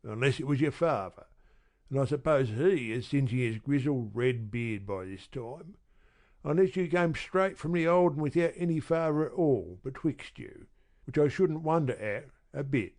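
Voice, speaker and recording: Woman doing a gruff male robber, low, surly, threatening, storybook reading. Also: expressive, moderate pace, a little mellow.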